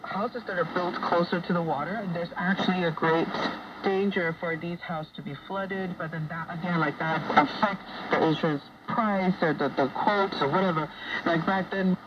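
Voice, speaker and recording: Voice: mid-range voice, recorded from a distance. Muffled shortwave broadcast, noisy background, casual chatty radio talker, anecdotal.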